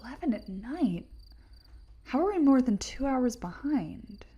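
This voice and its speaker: A young lab assistant gal, kinda husky and breathy, earnest n a bit nervous, radio play. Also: female voice, high voice, big pitch swings, unhurried, dull audio, full character voice. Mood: confused, anxious. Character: earnest, anxious young female research assistant. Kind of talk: naturalistic audio play acting.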